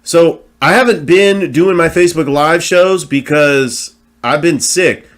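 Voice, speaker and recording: A man hosts his own sports show in a lively, casual monologue. He talks with a broadcaster's energy, full of fillers and quick restarts. His voice sounds a little worn as it comes back after being lost. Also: fairly high pitch. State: recovering from lost voice.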